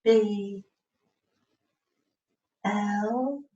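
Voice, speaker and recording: A woman giving a one-to-one lesson, coaxing and prompting, repeating words deliberately and spelling out letters one by one on a video call. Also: medium pitch, warm tone. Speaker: communication support partner helping a learner spell out words. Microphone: video call, away from the microphone. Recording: echoey room, muffled distant mic, faint noise.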